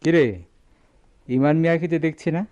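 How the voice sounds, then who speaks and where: Radio drama employer male actor: authoritative, measured merchant, slightly higher male voice, dull lo-fi recording. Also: fairly even intonation, a little mellow, clean tone, natural voice. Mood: concerned, businesslike. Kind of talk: Bengali radio drama acting.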